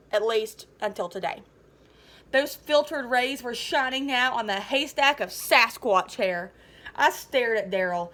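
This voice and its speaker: Woman reading as a high-voiced, resentful schoolboy bully. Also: a bit bright. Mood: indignant. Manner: sarcastic, grumbling storytelling. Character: sour, resentful middle-school boy, a dethroned bully. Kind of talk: first-person children's novel read aloud.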